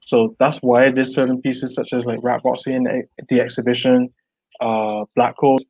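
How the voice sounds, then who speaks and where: A man's voice: mid-range voice, level tone, moderate pace, a little mellow, poor audio. Young guy from barbados on a video call w earbuds, earnest, lots of "like", restarts a lot. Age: young adult. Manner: earnest, reflective, many likes and restarts. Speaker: artist guest. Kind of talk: casual video call interview. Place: small room at home.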